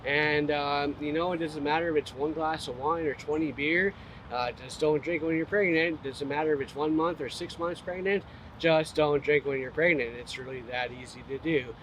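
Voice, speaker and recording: A man's voice: fairly high pitch, steady tone, moderate pace, a bit bright, poor audio. A man speaking as a motivational speaker and host, in an earnest, plain way with many fillers and restarts. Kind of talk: casual heartfelt talk to camera. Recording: outdoor recording with street noise.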